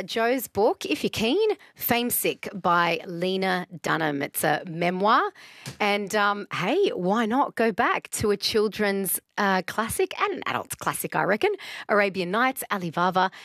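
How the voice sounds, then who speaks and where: A woman's voice: mid-range voice, a bit bright, no echo, studio quality. A woman hosting a radio show, chatting casually and reading out listener texts. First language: English. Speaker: radio host.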